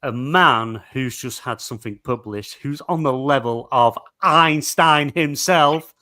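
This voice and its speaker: Bright-voiced joking male podcast host. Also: mid-range voice, measured pace. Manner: jokey, theatrical introductions. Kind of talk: casual podcast chat.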